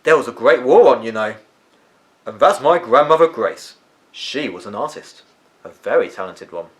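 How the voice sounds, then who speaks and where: A man's voice: mid-range voice, animated, normal speed, natural voice. A man voicing a weary, tetchy but kindly eccentric uncle from a storybook, musing and emphatic in his delivery. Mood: emphatic, reminiscing. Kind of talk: storybook character voice.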